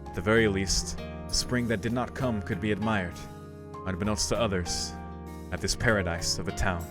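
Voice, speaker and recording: Low-voiced man over music. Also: steady tone, moderate pace. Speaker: streamer playing a visual novel. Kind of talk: let's play commentary and reading game text aloud. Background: game music.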